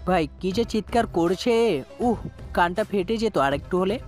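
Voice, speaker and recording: A man's voice: high voice, animated, a little slow, a little mellow, faint music behind. Man acting a deferential, questioning gang sidekick. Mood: grumbling. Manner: deferential, inquisitive.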